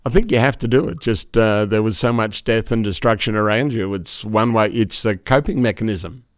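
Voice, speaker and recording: Smooth-voiced male podcast host, dry sound. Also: lowish voice, moderate pace, no room sound. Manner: conversational, curious questioner. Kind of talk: interview questions.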